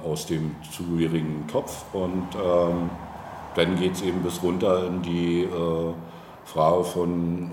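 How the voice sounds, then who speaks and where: A man's voice: deep voice, a little mellow. A man speaking as a local politician in a conversational interview, explaining in long, winding, hesitant sentences. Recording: roomy echo, faint background noise.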